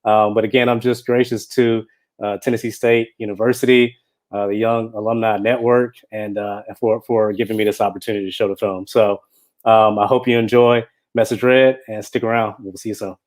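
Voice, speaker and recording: Male film director, informal introduction, lowish pitch with little melodic movement. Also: little pitch movement, fairly quick, a little mellow. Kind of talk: casual thank-you speech introducing own film.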